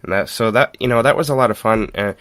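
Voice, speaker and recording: A man hosting a podcast in casual chat, telling things in a halting, informal way with frequent chuckles. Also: fairly low pitch, fairly bright tone, dry recording. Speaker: podcast host.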